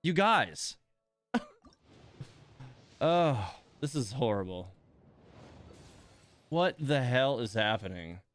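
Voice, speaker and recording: A man livestreaming a game in a casual, grumbling way. His pitch jumps around a lot, and he takes his time between remarks. He sounds exasperated, with mild swearing and sighs. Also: very animated, extremely slow, long pauses, fairly bright tone, soft background music. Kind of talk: casual livestream chat and reactions while playing.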